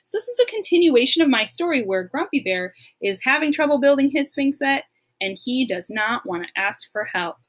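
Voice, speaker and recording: A woman's voice: high voice, big pitch swings, moderate pace. A woman teacher giving a recorded lesson to young pupils, explaining simply and encouragingly, step by step. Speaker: elementary school teacher.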